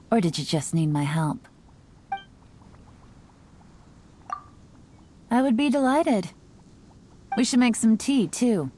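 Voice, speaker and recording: An actress playing a sly, self-assured young woman intelligence agent in a video game, speaking in a coy, teasing, unhurried way. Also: mid-range voice, slight background noise, lightly acted. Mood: playful teasing. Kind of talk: scripted video game character voice lines. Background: soft music.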